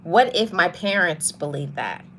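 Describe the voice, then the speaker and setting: A woman hosting a motivational podcast, talking to her audience in a soft voice and repeating her key phrases for emphasis. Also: lowish voice, lively intonation, measured pace, vocal fry, a little hiss or hum. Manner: greets live viewers mid-talk. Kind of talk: live-streamed motivational talk.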